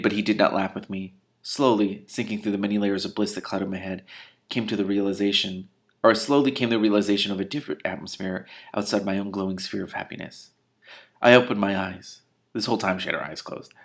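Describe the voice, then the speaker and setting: A man reading a novel aloud as host, breaking into casual, sarcastic and irreverent commentary. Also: lowish voice. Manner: sarcastic, irreverent, incredulous outbursts between read passages.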